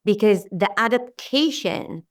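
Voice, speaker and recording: A middle-aged Israeli woman whose first language is Hebrew, talking as an interview guest in a warm, rambling way with many restarts. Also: medium pitch, lively intonation, a little slow, far from the mic. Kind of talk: casual interview talk.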